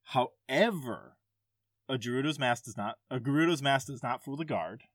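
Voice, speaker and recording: A man hosting a casual gaming podcast quiz, reading questions and answers aloud in a somewhat halting way. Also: medium pitch, lively intonation, fairly bright tone, dry recording, clean studio sound.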